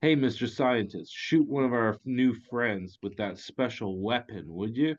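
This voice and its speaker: A man's voice: medium pitch, unhurried, natural voice. A man playing a swaggering, foul-mouthed goon boss in a comedic table read, mocking and condescending, over a dull online call. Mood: smug mockery.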